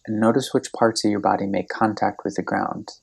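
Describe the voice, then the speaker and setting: A man with a low, very creaky voice guides a meditation. He delivers scripted instructions gently and soothingly. His phrases come unhurried, with long pauses between them. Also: flat delivery.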